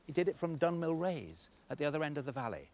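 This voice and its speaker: A man's voice: on the high side, big pitch swings, fast talker, darkish tone. A man giving explanatory literary commentary as a presenter, discursive and emphatic, breaking phrases for weight. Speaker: documentary presenter, literary lecturer.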